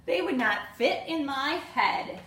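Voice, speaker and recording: Woman as an eager high-pitched little schoolgirl. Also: expressive, slow, fairly bright tone, distant mic, full character voice. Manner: eager, earnest, innocent. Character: cheerful, literal-minded little schoolgirl in a storybook. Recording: echoey room with faint noise.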